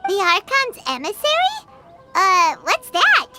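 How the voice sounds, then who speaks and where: A woman voicing a tiny, bubbly, childish fairy companion in an anime game, squeaky and excitable. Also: a little slow, heavily acted. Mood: curious. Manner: bubbly, speaks of herself in third person. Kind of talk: anime game character acting. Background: background music.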